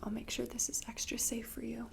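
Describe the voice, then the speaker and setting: Whispering, creaky-voiced fantasy shopkeeper played by a woman. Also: a little high, monotone, heavy background noise. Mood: hushed, inviting. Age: young adult. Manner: hushed, unhurried, intimate. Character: mysterious, gentle seaside shopkeeper woman in a fantasy port. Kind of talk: soft-spoken whispered ASMR roleplay. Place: dim candlelit tabletop set indoors.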